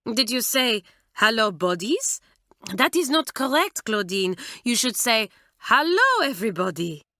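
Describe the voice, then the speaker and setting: A woman voicing an excitable middle-aged schoolmistress, effusive and shrill. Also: unhurried, dry recording, studio quality, exaggerated voice. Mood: correcting.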